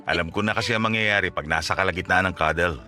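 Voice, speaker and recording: A man's voice: deep voice, fast talker, sharp tone, faint music behind. A man playing a vain, touchy young boyfriend in a radio drama, with a teasing, defensive, conversational manner. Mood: playful, sassy. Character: young makeup-loving boyfriend, vain, touchy, playfully sassy.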